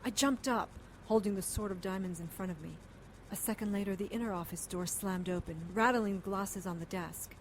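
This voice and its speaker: An actress playing a determined, weary detective's partner, sober and matter-of-fact in audio drama narration and dialogue. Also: female voice, mid-range voice, fairly quick, noisy background, natural voice. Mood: tense. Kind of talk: scripted first-person audio drama narration.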